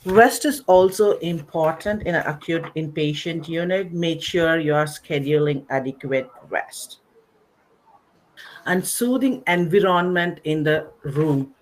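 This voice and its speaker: A woman presenting a slide-based nursing lecture, explaining in short phrases with pauses in a didactic way. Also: low-pitched, poor audio. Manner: didactic, recapping, pausing between short phrases. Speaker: webinar presenter, nursing educator.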